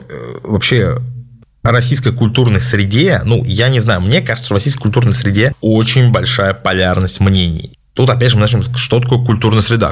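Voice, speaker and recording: A man hosting a casual question-and-answer session, giving his opinions in a measured way with many hesitant filler sounds. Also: on the low side. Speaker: host answering viewer questions. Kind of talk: casual spoken Q&A.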